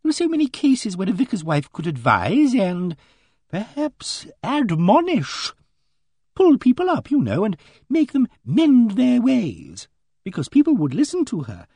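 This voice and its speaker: Man playing a fussy elderly spinster, high swooping voice, soft and breathy, dry close sound, genteel and fretful. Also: huge pitch swings, measured pace, dry recording, exaggerated voice. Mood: confiding. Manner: genteel, fluttering, reproachful. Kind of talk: audiobook character voice.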